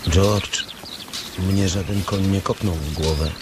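A big, simple-minded farmhand in a radio drama, with a low voice and slow, childlike delivery. He sounds naive and docile, a little off the mic with birds behind him. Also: fairly even intonation, recorded from a distance, noisy background. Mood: meek, childlike.

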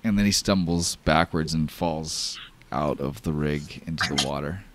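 A man's voice: a bass voice, moderate pace, a bit bright, dry recording. A man narrating an improvised tabletop sci-fi horror game as game master, in a casual, profane, dramatic way.

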